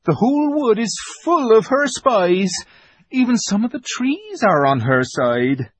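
A man voicing a timid, weeping male faun who pleads slowly and frets. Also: high-pitched, big pitch swings, no echo, voice pushed up, heavily acted. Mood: anxious. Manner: fretful, pleading, slow and drawn out.

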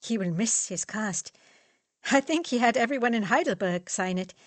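A woman voicing a polite, earnest young German man who teaches, speaking in a measured way. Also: fairly high pitch, lively intonation, no echo, natural voice. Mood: fond.

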